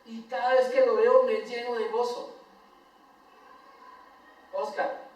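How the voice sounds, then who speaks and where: An older Colombian man preaching a sermon as pastor, swinging between loud emphatic lines and soft tender asides. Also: very high voice, flat delivery, slow. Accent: Colombian Spanish. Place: church sanctuary. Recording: echoey church hall, voice from far off the mic.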